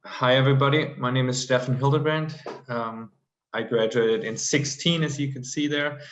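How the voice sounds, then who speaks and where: A man's voice: mid-range voice, steady tone, normal speed, fairly bright tone, slight background noise, low-quality recording. A man from Germany, an alumni panelist, introducing himself steadily and matter-of-factly over a video call.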